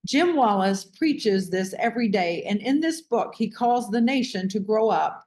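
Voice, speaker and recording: A woman host reading a book aloud in measured, emphatic phrases, with short comments of her own. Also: medium pitch, a little mellow.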